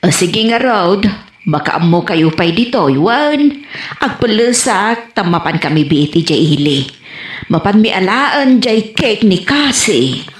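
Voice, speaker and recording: A woman's voice: medium pitch, very animated, slow. A woman playing a scolding, easily embarrassed village wife in an Ilocano comedy radio drama, with an Ilocano accent. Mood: busy, matter-of-fact. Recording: roomy echoey sound.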